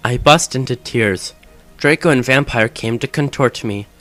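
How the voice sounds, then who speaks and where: A man's voice: mid-range voice, normal speed, a bit bright. A man reading fan fiction aloud as a dramatic narration, with music behind him.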